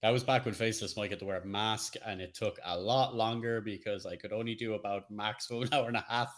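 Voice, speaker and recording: A man's voice: on the low side, fairly even intonation, fast talker, fairly bright tone. A man co-hosting a casual podcast, talking in a chatty, jokey way. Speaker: co-host, streamer.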